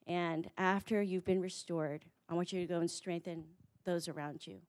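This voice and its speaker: A woman's voice: on the low side, steady tone, moderate pace, a little mellow. A woman host giving a heartfelt, faith-centered introduction of the next speaker, with frequent fillers. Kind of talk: warm spoken introduction.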